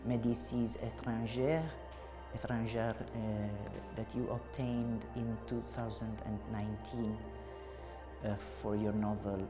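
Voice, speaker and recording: A middle-aged Italian man with an Italian accent, interviewing a writer in a halting way, with many filler sounds. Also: fairly low pitch, little pitch movement, in a large hall, over music. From Italy. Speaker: interviewer and translator. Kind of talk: hesitant conversational interview.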